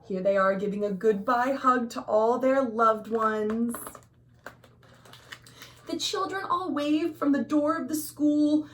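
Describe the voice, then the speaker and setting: A woman's voice: fairly high pitch, slow, slight background noise. An American woman hosting a storytime, reading a picture book to young children expressively with sing-song phrasing and explanatory asides. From USA. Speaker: children's librarian and storytime host. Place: at home.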